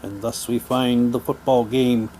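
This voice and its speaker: A man's voice: mid-range voice, steady tone, a little slow, dark tone, a little hiss or hum, rough recording. A man casually reading printed material aloud, switching between theatrical delivery and muttered asides.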